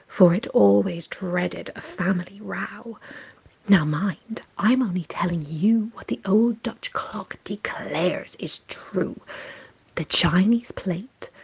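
Woman, whispered ASMR poem reading, very breathy, slow. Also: mid-range voice, poor audio. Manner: soft whispering.

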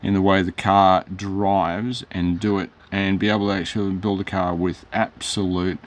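A hoarse middle-aged man, rambling through a casual product demo in his hobby shop, with lots of fillers and self-corrections. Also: low-pitched, level tone, moderate pace, a little hiss or hum. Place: hobby shop, shelves behind.

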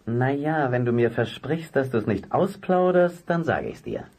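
An actor playing a gruff but good-natured uncle in a children's radio play, with a blustery, theatrical way of talking. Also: male voice, mid-range voice, very animated, measured pace, a little mellow, full character voice. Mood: gruffly kind. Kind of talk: German children's detective radio play acting.

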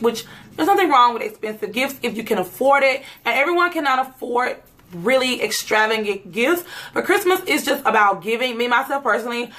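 A woman in her thirties, hoarse from a cold, chatting casually to camera in her bedroom about gift ideas, rambling with lots of "um" and "like". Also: fairly high pitch, sharp tone. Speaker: YouTube vlogger. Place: bedroom at home. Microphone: camera mic, seated facing camera.

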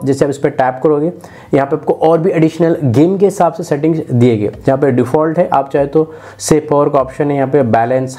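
A man's voice: medium pitch, darkish tone. An Indian man presenting a phone feature walkthrough in an instructional way, mixing in many English words. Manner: instructional, frequent English loanwords. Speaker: tech YouTuber, presenter.

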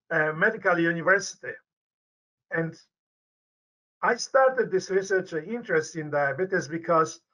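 An older man with a Polish accent talks unhurriedly, circling back and restarting his phrases as he explains. His voice sits fairly high and comes through bright. It arrives through a dull, lo-fi video call line from an office. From Poland. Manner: deliberate, hesitant with restarts. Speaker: medical researcher. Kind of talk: interview answers over video call. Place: indoor office with banner behind. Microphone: webcam on video call.

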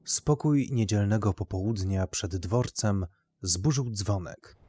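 A man's voice: fairly low pitch, slow pace, warm tone, breathy edge, soft background music. A man narrating literary fiction as a scripted audio story.

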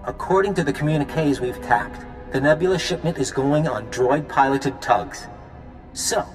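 Man as an earnest high-voiced rebel leader. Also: natural voice, low-quality recording. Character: earnest, practical male resistance leader. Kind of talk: video game voice acting.

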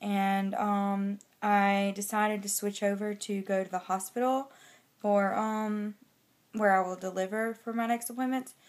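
A young woman with a slightly raspy voice from a cold, chatting casually in a personal vlog into a phone held at arm's length indoors. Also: medium pitch, fairly even intonation, measured pace. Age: young adult. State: has a cold. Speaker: pregnancy vlogger giving a weekly update. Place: small bedroom indoors.

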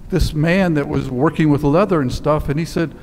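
A man in his sixties preaching a sermon, telling a story and dropping to a quiet, slow emphasis on key words, standing in a church sanctuary on a headset mic. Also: fairly high pitch, a little hiss or hum. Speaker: priest. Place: church sanctuary in front of altar. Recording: long church reverb with faint ambience.

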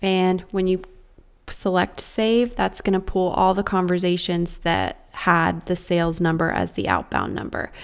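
A woman walks viewers through software in an informal, explanatory style. Her voice sits a little low and has a smooth, clear tone with noticeable vocal fry. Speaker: software tutorial presenter. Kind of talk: casual scripted screen-share walkthrough.

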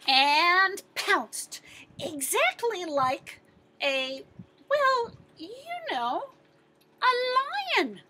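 A middle-aged American woman reads a picture book aloud to children in an expressive, emphatic way, asking questions and answering them. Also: very high voice, extremely slow. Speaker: teacher-librarian reading to children. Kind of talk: animated picture-book read-aloud with questions to young listeners.

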